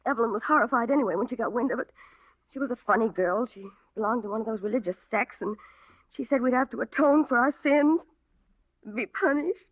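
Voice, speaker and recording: A woman's voice: a little high, a little fast, no echo, natural voice. An actress playing an evasive, world-weary young woman with guilty secrets, in old-time radio drama style. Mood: guilty, pleading. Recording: dry, dull vintage radio recording.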